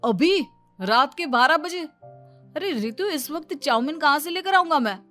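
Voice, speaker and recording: A woman's voice: high voice, expressive, a little slow, a bit bright, voice pushed up. Casting: female reader as soothing, slightly flustered young husband in a domestic moral tale, music underneath. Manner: reassuring, coaxing. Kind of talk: dramatized Hindi family moral story.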